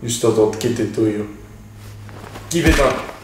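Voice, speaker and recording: A man voicing a cold, bullying police interrogator with a put-on Russian accent, speaking in deliberate threats. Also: mid-range voice, natural voice. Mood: cold menace. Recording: echoey room, off mic, game music behind.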